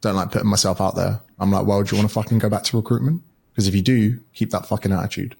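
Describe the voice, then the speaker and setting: A man's voice: fairly low pitch, fairly even intonation, fast, gravelly, darkish tone, husky. A man speaking as a podcast guest, an educator and author who talks bluntly and swears freely in casual conversation.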